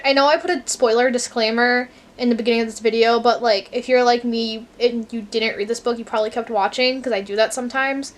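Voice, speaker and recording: A young woman talking casually to her camera at home about a book she is reading, chatty and candid, with quick asides and casual swearing. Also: on the high side. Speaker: book vlogger. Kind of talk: casual vlog chat to camera. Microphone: camera mic.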